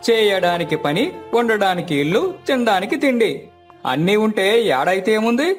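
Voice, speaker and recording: నటుడు పోషించిన ధైర్యం చెప్పే పల్లె పెద్దమనిషి, హెచ్చు గొంతు. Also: male voice, high voice, expressive, normal speed, soft background music. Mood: advising. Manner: reassuring, rustic colloquial advice. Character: practical, helpful elder village family friend. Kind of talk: dramatized moral story.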